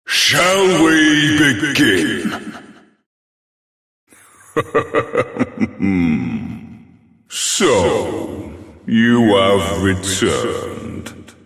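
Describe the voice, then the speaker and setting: Very deep, slow, haughty immortal being. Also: male voice, sing-song, vocal fry, fairly bright tone, full character voice. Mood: mocking, amused. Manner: haughty, formal, slow and menacingly amused. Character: proud, ancient superhuman being who tests mortal challengers. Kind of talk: scripted video game character acting. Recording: cavernous echo with long reverb.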